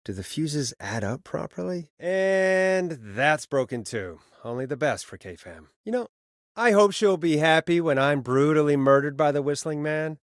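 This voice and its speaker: Animated, sardonic radio host. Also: male voice, a little high, measured pace, no room sound, clean studio sound. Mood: sarcastic, exasperated. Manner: wry, conversational, expressive. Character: sardonic male late-night radio host, wry and easily exasperated. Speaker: late-night radio DJ character. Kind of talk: video game voice acting.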